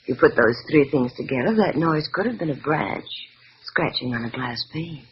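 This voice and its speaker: An actress playing a coolly flirtatious, glamorous widow in a 1940s radio noir drama, coy, teasing and smoothly evasive. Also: female voice, low voice, animated, normal speed. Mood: coy, amused. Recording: noisy, muffled vintage radio recording.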